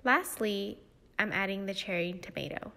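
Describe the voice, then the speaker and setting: A woman's voice: medium pitch, moderate pace, gravelly, sharp tone. Young woman giving step-by-step cooking instructions. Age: young adult. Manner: explanatory, step-by-step. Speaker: cooking demo host, health educator.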